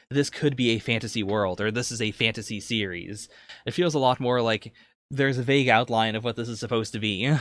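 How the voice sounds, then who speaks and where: A man's voice: mid-range voice, a bit bright, no room sound. A man co-hosting a podcast in a casual review discussion, with a hesitant, rambling way of phrasing things. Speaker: podcast co-host.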